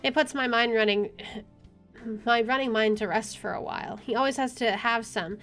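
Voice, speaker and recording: A woman's voice: a little high, moderate pace, fairly bright tone. Woman streamer reading over game music. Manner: informal read-aloud with offhand asides. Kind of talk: reading game narration aloud, casual playthrough.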